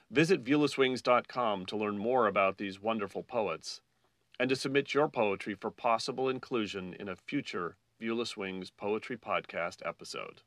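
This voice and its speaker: A man hosting a poetry podcast, reading a scripted introduction in a measured, announcer-like way. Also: mid-range voice, a bit bright, no room sound. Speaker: podcast host.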